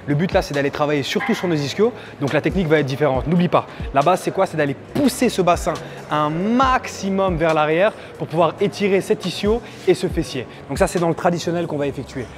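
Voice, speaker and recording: A man's voice: a little high, a little fast. A man giving a casual exercise tutorial as a fitness coach, with playful, teasing, motivational asides. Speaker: fitness coach presenting a workout. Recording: music under the voice. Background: background music.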